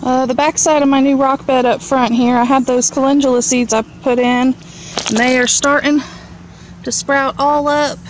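A woman with a fairly high voice chats casually as she shows viewers around a backyard garden. She speaks off the cuff into a handheld camera, with clear outdoor ambient noise around her. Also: level tone, normal speed, poor audio. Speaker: home gardener giving a tour. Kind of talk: casual off-the-cuff vlog narration. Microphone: handheld camera mic, held by speaker.

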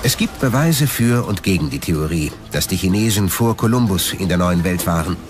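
A man's voice: low voice, lively intonation, moderate pace, music in the background. A man reading scripted documentary narration as the narrator.

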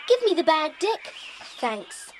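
A small, sensible, easily worried English girl in a children's adventure play. The voice is very high and animated. She speaks earnestly, a little plaintively, over faint noise. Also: slow, exaggerated voice. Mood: earnest, domestic. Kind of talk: children's audio drama acting.

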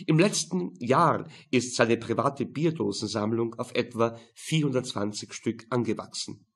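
A man's voice: medium pitch, measured pace, husky. A man narrating a crime novel audiobook in a dry, matter-of-fact manner.

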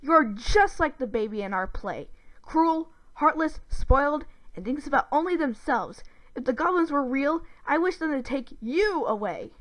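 A woman's voice: high voice, expressive, normal speed, fairly bright tone, pitched above the performer's own voice, low-quality recording. A woman playing an angry, fed-up boy who talks scornfully to his sister in a read-aloud story. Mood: bitter, scornful.

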